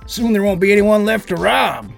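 An actor playing an eager young male gangster in a crime audio drama, with a gravelly voice. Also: sits high, lively intonation, normal speed, soft background music, full character voice. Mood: eager, smug.

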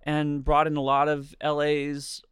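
Smooth-voiced session singer from Los Angeles. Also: male voice, on the high side, a little slow, no echo, studio quality. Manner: relaxed, laughs easily, storytelling. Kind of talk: casual interview answers.

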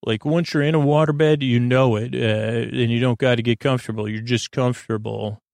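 A man hosting a bedtime podcast in a casual, meandering monologue, stammering and halting as he keeps drifting off topic. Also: mid-range voice, fairly even intonation, creaky, a little mellow, no echo. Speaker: podcast host.

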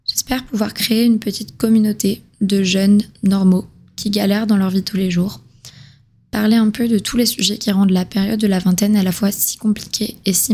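A woman in her twenties, from southern France, talks alone into the mic as host of her own podcast. Her voice sits at a middling pitch and stays fairly flat and level. She speaks in a casual, confiding way with plenty of slang. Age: 20s. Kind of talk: solo podcast monologue.

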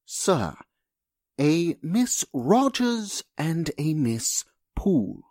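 An actor playing a meek, formal and very polite household butler in a 1940s style radio drama. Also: male voice, a little high, huge pitch swings, extremely slow, a little mellow, no echo, clean studio sound, exaggerated voice. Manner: servile, polite, hesitant.

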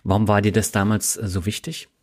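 A man hosting a podcast interview, asking calm conversational questions with occasional fillers. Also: fairly low pitch, fairly quick. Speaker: podcast host.

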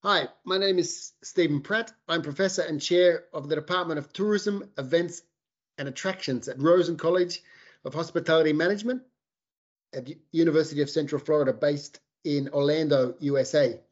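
A man professor who is the podcast's executive producer, introducing himself in a brisk, businesslike way. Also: a little high, dull audio. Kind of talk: casual self-introduction.

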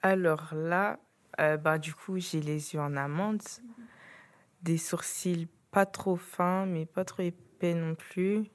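A teenage girl, a student, speaking casually and haltingly in a school podcast. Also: fairly low pitch, steady tone. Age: teens.

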